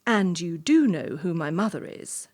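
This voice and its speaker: A woman playing a ruthless, entitled young assessor in a stage play, brisk, condescending and coolly domineering under a veneer of charm. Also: on the low side, sing-song, a little mellow. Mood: cold menace.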